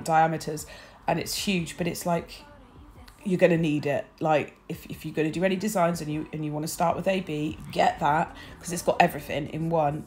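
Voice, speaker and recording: A woman with a croaky early-morning voice, chatting bubbly through a nail art tutorial and sharing tips. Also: deep voice, a little mellow, a little hiss or hum. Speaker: nail technician.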